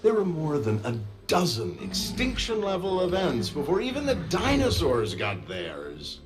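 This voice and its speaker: An actor playing a cold, grandiose film villain, lecturing in a portentous manner. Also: male voice, on the high side, wildly swooping pitch, measured pace, music in the background. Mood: ominous.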